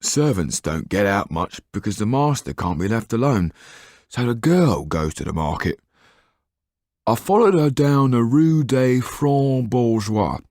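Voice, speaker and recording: A man putting on a greedy, sweaty young snoop in a storybook reading, a bit higher than his own voice. He talks gruffly and knowingly, sliding all around his pitch. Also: very animated, a little slow, a little mellow, no room sound, clean studio sound. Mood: gossipy.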